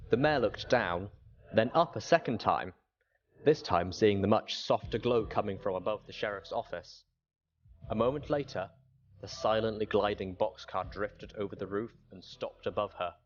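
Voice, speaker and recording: A man's voice: lowish voice, moderate pace, highs cut off, slight background noise. A man reading a story aloud as a dramatic narrator. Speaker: audiobook reader.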